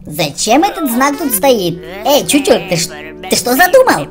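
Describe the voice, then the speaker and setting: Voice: mid-range voice, big pitch swings, unhurried. A performer voicing a playful cartoon lion who hosts a children's game let's-play, exclaiming and talking to young viewers. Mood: amused, curious. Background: children's game music.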